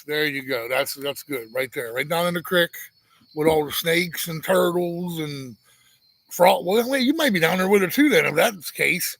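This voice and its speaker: A man with a monotone voice co-hosting a casual live-stream chat, joking and rambling with frequent restarts. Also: a little high, normal speed, vocal fry, no room sound, rough recording. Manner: teasing, rambling, many restarts. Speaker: homestead channel co-host.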